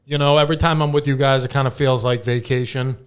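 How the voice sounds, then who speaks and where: A man's voice: mid-range voice, fairly even intonation, fast, smooth. A man hosting a casual comedy podcast, bantering in a joking, self-deprecating way. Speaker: podcast host.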